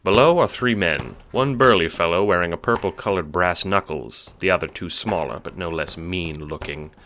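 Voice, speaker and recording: Brief: male audiobook narrator, deep and bright-edged, wry, dramatic delivery of a scripted story. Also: moderate pace, slight background noise.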